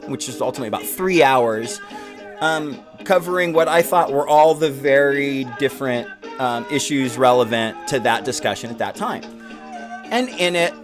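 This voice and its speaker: A man hosting an online show, talking informally and sardonically in a casual, sweary monologue to viewers. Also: medium pitch.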